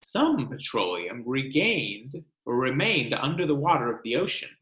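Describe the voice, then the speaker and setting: A man reading a book aloud to children like a teacher, expressive and instructional, pausing to explain. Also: mid-range voice. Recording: roomy, echoing sound.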